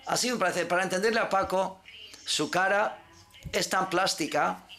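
New York woman, gravelly, storytelling. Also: lowish voice, moderate pace. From United States. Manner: lively storytelling with frequent restarts. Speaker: actress. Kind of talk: press conference answer.

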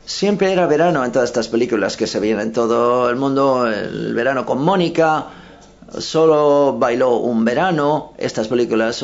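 Halting male press-conference interpreter. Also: mid-range voice, a little mellow. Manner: hesitant, with frequent self-corrections. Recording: dull lo-fi sound.

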